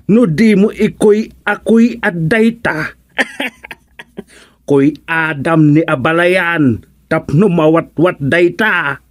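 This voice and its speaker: An actor with an Ilocano accent playing an easygoing, teasing older in-law in a village comedy radio drama. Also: male voice, fairly high pitch, drawn-out words.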